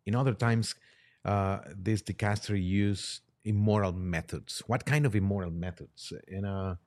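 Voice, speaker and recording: A man speaking as a priest and podcast guest, halting as he discusses religion and poses questions. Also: lowish voice, darkish tone.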